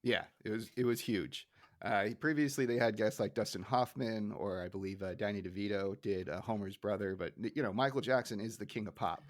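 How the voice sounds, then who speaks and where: Man, middle-aged, low voice, close dry sound, casual podcast host. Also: a little fast, dry recording.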